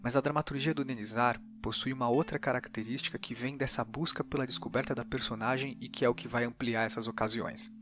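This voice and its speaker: Man, Brazilian Portuguese accent, scripted analytical narration, measured, medium pitch, light guitar backing. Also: fairly even intonation, a bit bright. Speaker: podcast host. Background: faint guitar music.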